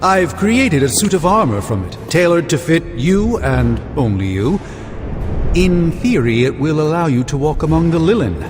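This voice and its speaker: Proud, high-pitched scholarly sorcerer. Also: male voice, big pitch swings, normal speed. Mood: proud, showy. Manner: theatrical, self-satisfied. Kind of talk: video game cutscene acting. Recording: reverberant hall, prominent music.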